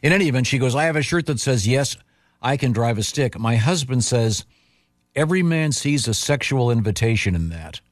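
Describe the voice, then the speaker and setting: A man hosting a radio talk show, bantering casually and reading out listener questions in a halting, teasing way. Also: medium pitch, expressive, no echo, clean studio sound.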